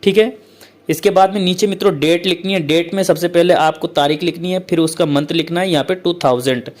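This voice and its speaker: A male teacher who explains a letter format step by step, repeats points often and reads English lines out slowly. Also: sits high, fairly even intonation. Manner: repetitive, keeps saying "okay, friends".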